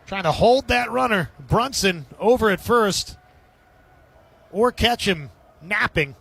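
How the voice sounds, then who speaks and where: A male baseball announcer with a notably high voice delivers live radio play-by-play. He describes the game in clipped, descriptive phrases, as a sports commentator does on air. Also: expressive, slow, gravelly, fairly bright tone, husky.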